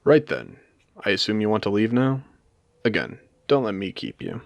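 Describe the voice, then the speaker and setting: Casting: male actor as world-weary AI casino owner for audio drama, genteel and theatrical, low voice with swooping, expressive intonation. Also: gravelly, dry recording, full character voice. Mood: courteous farewell. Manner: measured, genteel, theatrical, with pointed pauses. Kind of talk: intimate scripted audio roleplay addressed to the listener.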